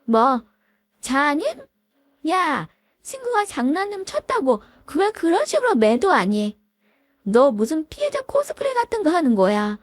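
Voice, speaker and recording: A woman's voice: sits high, wildly swooping pitch, a little slow, dry recording, studio quality, pitched above the performer's own voice, heavily acted. A woman voicing an arrogant former school bully, a spiteful woman who taunts and scorns her old classmate. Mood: sneering.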